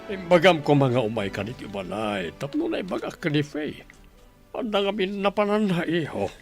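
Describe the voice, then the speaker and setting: A man's voice: fairly high pitch, big pitch swings, moderate pace, creaky, faint music behind. An actor playing an insistent, pushy father in a radio drama. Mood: agreeable. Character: insistent father pushing his daughter to marry. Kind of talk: Ilocano radio drama acting.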